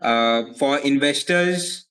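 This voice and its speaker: A young man from India with an Indian accent, answering interview questions as a legal advisor in a measured way, often repeating words as he thinks. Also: medium pitch, a bit bright. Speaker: foreign legal advisor, guest.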